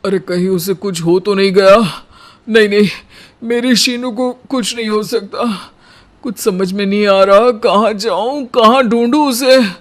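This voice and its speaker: A man playing a playful, romantic and easily worried young husband in a cartoon story, with a dramatic, expressive delivery. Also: high voice, measured pace. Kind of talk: cartoon storybook character acting.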